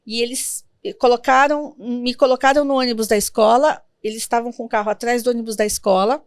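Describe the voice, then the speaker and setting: A Brazilian woman in her fifties, a guest in an interview, telling family stories in a reflective, gentle way. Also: on the high side, fairly even intonation, brisk, no echo, clean studio sound. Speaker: guest, sworn translator. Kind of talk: interview conversation.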